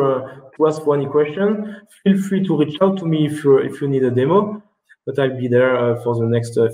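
Guy in his 30s on a video call, mid pitch, halting, lots of uhs and restarts. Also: dark tone, rough recording. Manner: halting, many restarts and fillers. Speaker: keynote speaker. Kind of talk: webinar presentation and Q&A answers. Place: indoor room.

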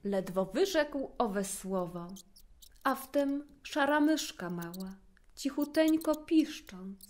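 A woman narrating a rhymed fairy tale in an expressive, theatrical storytelling manner. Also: on the high side.

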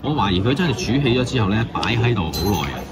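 Lively low-voiced man reviewing food. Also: racing, heavy background noise. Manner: chatty, musing out loud, mildly critical. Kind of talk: casual food review voice-over.

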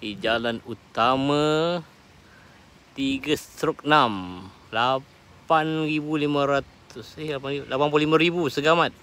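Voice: mid-range voice, measured pace, a bit bright. A food vlogger with a Malaysian accent giving casual walk-around commentary, asking questions and repeating answers back in an inquisitive way. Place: walking outside and inside a roadside cafe. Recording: noisy handheld recording with cafe ambience.

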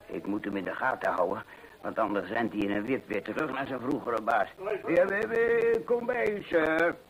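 An actor playing a chatty country innkeeper in an old radio play, nervously apologetic, hesitant and deferential. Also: male voice, medium pitch, lively intonation, normal speed, crowd noise, natural voice. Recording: noisy old radio recording.

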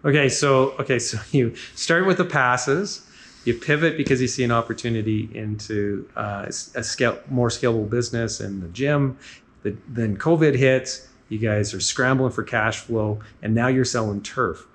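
A man's voice: medium pitch, moderate pace. A man in his forties hosting a business show, summarizing points in an upbeat, talkative way and often adding "right?". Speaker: podcast host. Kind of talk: casual interview on a walking tour. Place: large indoor gym.

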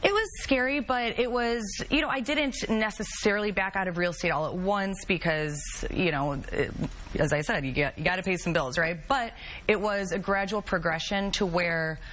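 A woman's voice: mid-range voice, normal speed, slight background noise. Chatty woman guest on a studio set. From Chicago area. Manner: chatty, animated storytelling. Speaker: guest, travel and music blogger. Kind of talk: casual talk-show chat.

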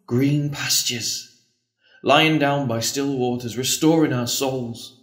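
An actor playing an earnest, pleading priest torn by an old love in an audio drama. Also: male voice, medium pitch, fairly even intonation, takes it slowly, a bit bright. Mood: tender, earnest. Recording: roomy, echoing space.

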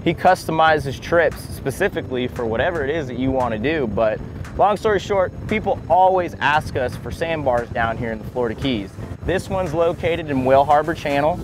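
A man in his thirties co-hosting a vlog from a boat, relaxed and enthusiastic, joking with his partner. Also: mid-range voice. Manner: laid-back, enthusiastic, joking. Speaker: vlog co-host. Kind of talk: casual outdoor vlog chat. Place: boat on open water outdoors. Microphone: clip-on mic. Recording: music under the voice.

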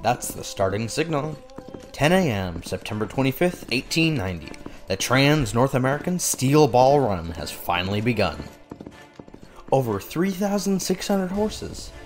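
A man playing an excitable, official-sounding race announcer in a dramatic manga fandub. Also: mid-range voice, normal speed, soft background music, close to an everyday voice. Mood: excited, urgent. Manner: formal explaining that bursts into breathless excitement.

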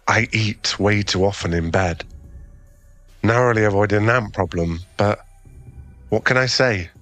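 Man acting a low-voiced, awkward, smitten gym guy. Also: a bit bright. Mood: shy, friendly. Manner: stammering, hesitant, earnest, conversational. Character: awkward, flustered, kind young male gym owner with a crush. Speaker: ASMR roleplay voice actor. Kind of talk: ASMR boyfriend roleplay.

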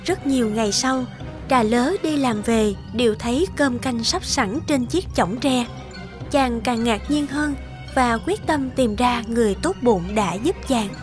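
A woman reading scripted narration for an animated folktale as the storyteller. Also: fairly high pitch, measured pace. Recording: music behind the voice.

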